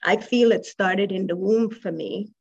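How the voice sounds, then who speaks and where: A woman's voice: medium pitch, fairly even intonation, vocal fry, husky. A woman from Trinidad and Tobago, a guest telling her life story in an interview, reflective and hesitant, over muffled call audio. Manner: reflective, unhurried, with soft laughs and hesitations.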